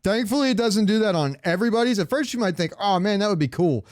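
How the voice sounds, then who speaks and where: Clear-voiced man chatting on a podcast. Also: sits high, expressive, fast, no echo. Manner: informal, occasionally swearing. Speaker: podcast host. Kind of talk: casual gaming podcast chat.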